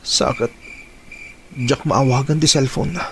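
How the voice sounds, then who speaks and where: A man with an Ilocano accent playing a guilty, hesitant young lover in a radio drama, halting and earnest. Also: medium pitch, fairly even intonation, lightly acted. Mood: troubled, confiding.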